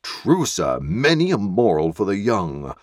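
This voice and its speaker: A man's voice: fairly low pitch, huge pitch swings, measured pace, a little mellow, dry recording, studio quality, exaggerated voice. A man reading aloud and voicing a solemn, moralizing church clerk. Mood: sanctimonious.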